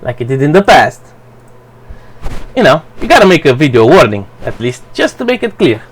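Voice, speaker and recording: A man whose first language is Romanian, giving a blunt, profane, halting commentary for his channel. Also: a little high, big pitch swings, husky. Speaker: vlogger. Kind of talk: rambling personal commentary.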